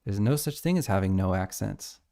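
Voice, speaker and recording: A man's voice: lowish voice, animated, brisk, gravelly, warm tone. An American man streaming his game development live, thinking aloud and reading lines of code as he types. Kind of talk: casual live coding stream.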